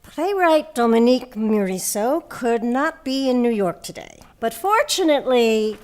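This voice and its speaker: A woman's voice: fairly high pitch, animated, a little slow, a little mellow. A woman host presenting and interviewing in a polished, articulate manner. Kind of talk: scripted presenting and stage interview.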